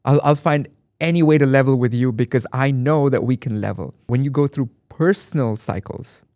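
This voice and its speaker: A man's voice: medium pitch, moderate pace, a little mellow, no room sound, studio quality. A man from Dubai, guest on an interview podcast, speaking reflectively and with emphasis, often repeating phrases. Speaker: guest artist. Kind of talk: conversational interview.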